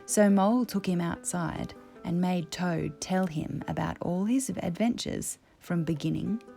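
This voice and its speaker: Slow, low-pitched woman storyteller. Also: gravelly, music in the background. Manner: measured, expressive storytelling. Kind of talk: scripted children's story narration.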